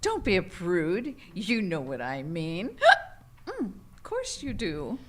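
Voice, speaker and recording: A woman's voice: lowish voice, huge pitch swings, slow pace, a bit bright, full character voice. A woman playing a flirty, naive married hotel guest, gushing and playful, in a live radio comedy. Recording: live theater stage with background noise.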